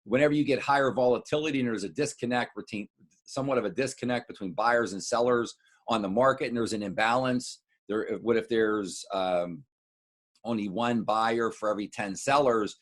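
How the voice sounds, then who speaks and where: A middle-aged American man, a wealth adviser, gives an informal market recap to clients, halting and repeating words as he adds explanatory asides. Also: mid-range voice.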